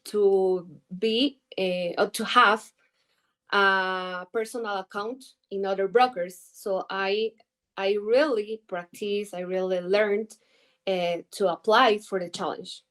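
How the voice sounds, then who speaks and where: A woman in her twenties from Ecuador, speaking English with an Ecuadorian Spanish accent as a native Spanish speaker, answering interview questions haltingly and pausing often to search for words. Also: mid-range voice, steady tone. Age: 20s. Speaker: guest trader. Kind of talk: interview answers over video call.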